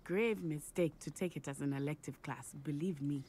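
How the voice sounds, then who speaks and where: A woman's voice: sits low, normal speed, mellow, a little hiss or hum, close to an everyday voice. An actress playing a friendly, adventurous teenage witch in a video game, chatty and precise in her wording. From Africa.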